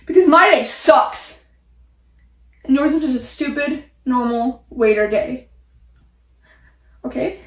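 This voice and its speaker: A woman's voice: sits high, animated, takes it slowly, long pauses. An amateur actress playing an exasperated, self-pitying young woman in a petulant, ranting stage monologue. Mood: dismissive. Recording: echoey room.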